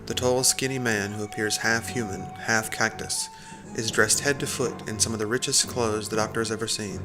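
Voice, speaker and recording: A man's voice: lowish voice, fairly even intonation, moderate pace, music in the background. A man reading scripted dramatic narration as the narrator of an audio drama.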